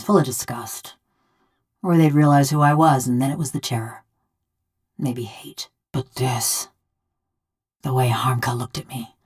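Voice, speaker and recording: A woman's voice: extremely low pitch, darkish tone, husky, heavily acted. An actress playing a bitter, vengeful female killer trapped in a metal body, speaking folksy rustic game dialogue in a wry, brooding way. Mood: bleak, hollow. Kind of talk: scripted video game character dialogue.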